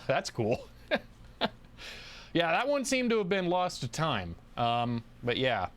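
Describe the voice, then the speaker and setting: A man hosting a livestream, chatting casually and thinking aloud in a halting way as he answers viewers. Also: medium pitch. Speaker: livestream host.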